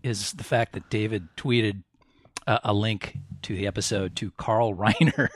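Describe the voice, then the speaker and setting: A man's voice: fairly low pitch, steady tone, a little slow, no echo, studio quality. A man trading casual banter as a podcast panelist.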